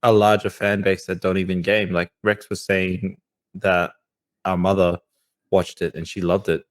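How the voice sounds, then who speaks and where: A man's voice: deep voice, level tone, normal speed. A man co-hosting a podcast, chatting casually. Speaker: podcast co-host.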